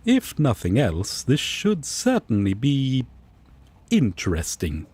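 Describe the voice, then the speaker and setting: Earnest, excitable angel roommate played by a man for audio drama: mid-pitched, unhurried, proper and polite, dry close recording. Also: slightly rough, dry recording, heavily acted. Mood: agreeable, composed. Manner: formal, measured, courteous phrasing. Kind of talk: roleplay audio drama, comfort scene.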